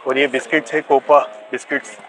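A man's voice: mid-range voice, level tone, fairly quick, rough recording. A man with a Pakistani accent presenting goods and prices like a salesman at a market stall. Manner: salesmanlike, listing items. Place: outdoor covered bazaar stall. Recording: busy outdoor market crowd noise.

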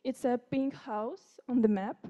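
A woman's voice: fairly high pitch, fairly even intonation, measured pace, mellow, lo-fi sound. A fifteen-year-old girl with a Ukrainian accent, a native Ukrainian speaker, giving a friendly, informal presentation to an audience. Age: 15, teenager. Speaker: youth speaker presenting at a conference. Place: conference room with seated audience.

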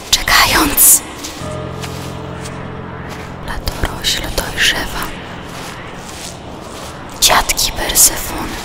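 A person whispering poetry in an ominous, drawn-out way. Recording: loud music over the whisper.

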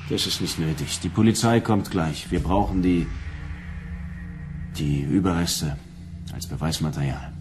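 Weary bounty hunter in a radio play, deep voice, curt, bit of music behind. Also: male voice, unhurried, pauses often, a little mellow. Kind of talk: German radio drama acting. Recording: studio radio drama under music.

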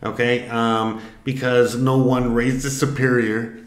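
A man's voice: mid-range voice, little pitch movement, unhurried. Guy in his 30s rambling thru a quiz, lots of you-know-what-i-mean, roomy echo on a webcam mic. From Miami, Florida, US. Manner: rambling, many fillers and restarts. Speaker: vlogger. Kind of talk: casual commentary while taking an online quiz. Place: home room, indoors. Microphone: webcam at desk. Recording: echoey room.

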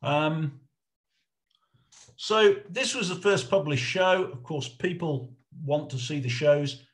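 A middle-aged man giving an informal illustrated history lecture over a video call, explaining in a halting, hesitant way. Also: a little high. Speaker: lecturer, researcher presenting a talk.

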